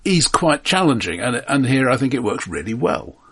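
A man's voice: medium pitch, very animated, moderate pace, fairly bright tone, breathy edge. A man co-hosting a casual, analytical film discussion, hesitant and thoughtful in his phrasing. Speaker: podcast co-host.

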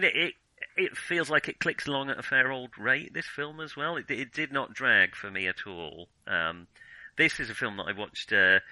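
Guy chatting about films, really bright sharp voice, close dry sound, rambles and backtracks a bit. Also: on the low side, no echo, rough recording. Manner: hesitant, self-correcting, rambling. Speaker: podcast co-host discussing films. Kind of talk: casual film discussion.

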